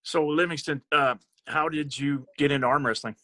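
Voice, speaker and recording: A man's voice: on the high side, fairly even intonation, moderate pace, sharp tone. A man hosting a podcast who asks friendly questions and stumbles over fillers and repeated words. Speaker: podcast host. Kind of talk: casual interview.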